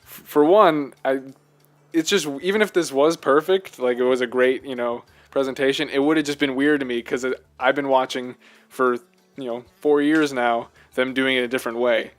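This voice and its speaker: A man co-hosting a podcast, chatting casually about games in a halting, rambling way. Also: medium pitch, steady tone. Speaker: podcast co-host. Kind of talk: casual chat, video game discussion.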